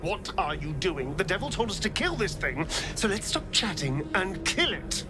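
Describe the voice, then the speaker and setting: Impatient, high-voiced fantasy adventurer. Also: male voice, very animated, moderate pace, music in the background. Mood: alarmed impatience. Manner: exasperated, urgent. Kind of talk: dramatic video game dialogue.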